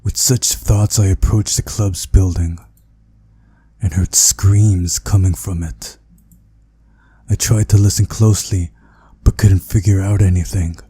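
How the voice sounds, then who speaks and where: A man reading a visual novel aloud as narrator, in a measured storytelling manner. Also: low-pitched, steady tone, mellow, breathy edge, dry recording. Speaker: playthrough narrator.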